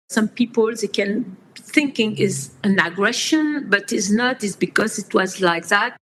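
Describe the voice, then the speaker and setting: Woman, 50s, slightly high voice, slow and halting, interview guest. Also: a bit bright, slight background noise, rough recording. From France. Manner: slow, halting speech with frequent fillers and restarts. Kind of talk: personal storytelling interview.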